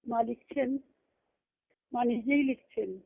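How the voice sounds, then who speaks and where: A woman's voice: fairly high pitch, slow pace, gravelly. A woman giving an earnest devotional talk to a group, repeating phrases for emphasis. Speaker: spiritual discourse speaker. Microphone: phone conference call. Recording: tinny narrowband conference call line.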